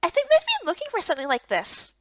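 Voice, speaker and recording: A woman voicing a sweet, excitable young boy as a character in a visual novel. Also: very high voice, fast, highs cut off, slight background noise, voice pushed up, heavily acted. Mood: eager, cheerful.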